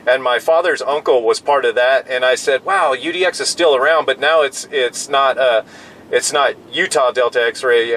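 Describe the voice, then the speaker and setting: An American man in his fifties talks over a rumbly, noisy background in a pickup truck. He chats like a CB radio hobbyist, easygoing and rambling, at an ordinary middle pitch. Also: a bit bright. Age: 50s. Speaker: amateur CB radio operator. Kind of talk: casual two-way radio chat. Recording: in-vehicle recording with clear background noise.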